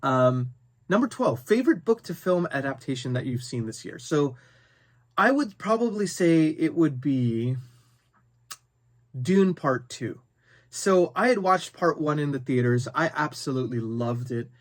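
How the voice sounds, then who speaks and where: A man's voice: a little high, animated, measured pace. A man hosting a book video, talking casually and unscripted to viewers, conversational and thinking aloud as he goes. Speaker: book review video host.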